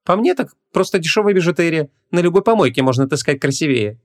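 A man voicing a lively, curious young woman in an audiobook, talking in a colloquial, impatient way. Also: a little high, fast talker, pitched above the performer's own voice, natural voice.

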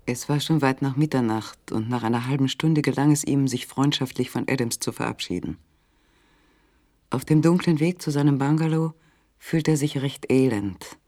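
A man narrating a literary radio play in a measured, sober manner. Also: medium pitch, a little mellow, dry recording, studio quality.

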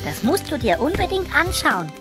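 A woman's voice: high voice, sing-song, normal speed, music in the background. A woman playing a scatterbrained, kindly young mouse boy in a children's audio drama, with a playful manner. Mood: excited. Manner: playful, stammers when flustered, invents cute compound words.

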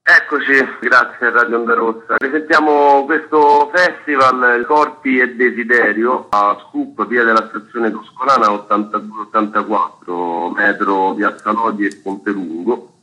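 A man's voice: mid-range voice, steady tone, a little slow, bright, forceful tone, low-quality recording. A man being interviewed on the radio, presenting a programme as one of its organisers.

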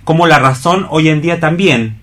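A man's voice: a little high, normal speed, a bit bright. A man with an Argentine accent leading a casual round-table radio discussion, circling his ideas and repeating phrases as he goes. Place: radio programme.

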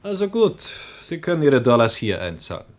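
A man's voice: medium pitch, very animated, fairly quick, close to an everyday voice. An actor playing a formal, evasive Soviet interpreter in a radio drama, a man with an emphatic, dismissive courtesy. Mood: brisk. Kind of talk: German public radio drama acting.

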